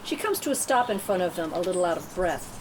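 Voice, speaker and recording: A woman's voice: fairly low pitch, sing-song, quick pace, rough recording. A woman narrator reading screenplay stage directions as scripted narration at a table read. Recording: clear background noise.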